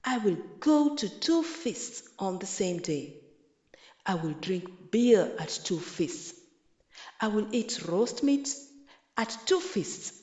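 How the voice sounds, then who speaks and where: A woman voicing an eager, scheming old village man in a storybook, with slow, emphatic declarations. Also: medium pitch, very animated, darkish tone. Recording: echoey, roomy sound.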